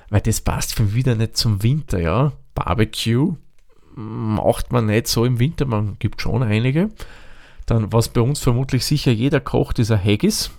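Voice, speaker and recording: A man's voice: mid-range voice, vocal fry, a little mellow. A man, co-hosting a casual beer tasting chat, rambling and thinking aloud. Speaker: beer podcast co-host.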